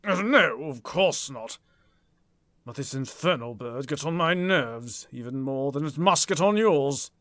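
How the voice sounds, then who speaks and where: An actor playing a cautious, fussy, practical lawyer in a vintage-style radio mystery, polite and hesitant. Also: male voice, medium pitch, lively intonation, a little slow, fairly bright tone, dry recording. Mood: nervous, uneasy.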